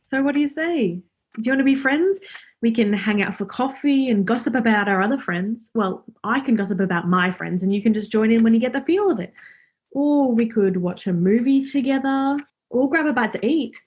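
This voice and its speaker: Casting: friendly, cheeky young woman played by an actress, highish husky voice, informal rambling delivery, radio drama. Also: lively intonation, normal speed, darkish tone. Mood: cheeky, eager. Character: Laura, chatty, cheeky, friendly young woman befriending Death. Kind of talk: radio play acting.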